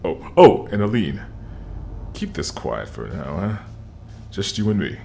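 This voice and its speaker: A man acts a world-weary police detective in an audio drama. The voice is very low and gravelly, gruff and terse, with dry inflections that move around a lot. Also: very animated, measured pace, mellow, a little hiss or hum, heavily acted. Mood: dry, familiar.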